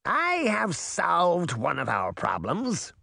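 A man doing a slow, imperious old witch. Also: on the high side, huge pitch swings, vocal fry, sharp tone, no room sound, studio quality, voice pushed up, exaggerated voice. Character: ancient imperious female witch, slow and commanding.

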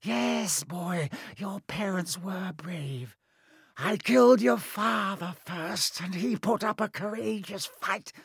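A narrator voicing an evil, disembodied dark wizard with a high, hissing voice and a slow, menacing delivery, in a storybook reading. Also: no room sound, studio quality, heavily acted. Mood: mocking, menacing. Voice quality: high, hissing, breathy whisper at times.

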